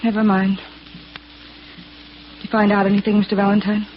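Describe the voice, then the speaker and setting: An actress playing a gentle, anxious mother in a 1940s radio drama. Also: mid-range voice, completely flat delivery, fairly quick, lightly acted. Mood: tender, anxious. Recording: dull vintage radio recording with hiss.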